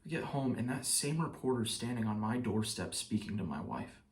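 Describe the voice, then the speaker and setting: A man performing a stage monologue as a shaken, bitter trial juror, speaking haltingly like someone giving testimony. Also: on the low side, animated. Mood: subdued, haunted. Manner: halting, with pauses and repeated phrases. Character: shaken male trial juror, hounded, guilt-ridden and bitter. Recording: roomy echo, distant mic.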